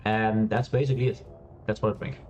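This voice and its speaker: A man streaming a space game, chatting loosely with many fillers and restarts, laughing now and then. He has a loud voice. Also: on the low side, steady tone, fairly quick, lo-fi sound, a little hiss or hum. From Europe. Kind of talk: casual gameplay commentary. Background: quiet game music.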